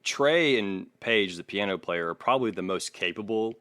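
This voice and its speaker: Guy with a lowish voice doing opinionated podcast music talk, kinda analytical, no room sound. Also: animated, moderate pace, a bit bright, dry recording, clean studio sound. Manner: analytical, opinionated, swears casually. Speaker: podcast host. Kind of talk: casual music critique chat.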